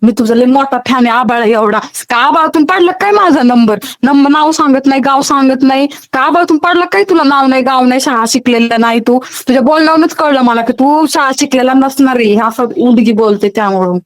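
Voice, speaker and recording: Woman, Maharashtrian accent, high voice, nagging and interrogating in a comic phone argument. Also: a little fast, few pauses. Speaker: social media video creator taking a fan's call.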